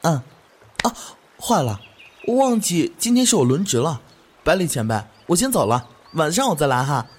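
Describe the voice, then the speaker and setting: A man's voice: a little high, very animated, normal speed, slightly rough. An actor playing a cheerful, good-hearted young man who chats eagerly and laughs easily in an audio drama. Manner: bright, chatty, laughs easily.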